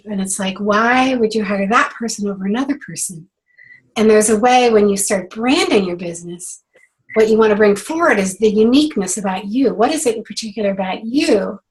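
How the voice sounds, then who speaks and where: A middle-aged woman with a hoarse voice from a cold, hosting a casual branding call and chatting with many fillers and restarts. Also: medium pitch, poor audio. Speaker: branding coach hosting a clinic.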